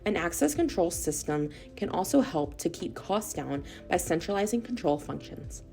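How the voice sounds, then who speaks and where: Low-pitched woman narrating, slightly creaky. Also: very animated, darkish tone, faint music behind. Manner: measured, instructional delivery. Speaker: narrator of a corporate product video. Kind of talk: scripted explainer narration.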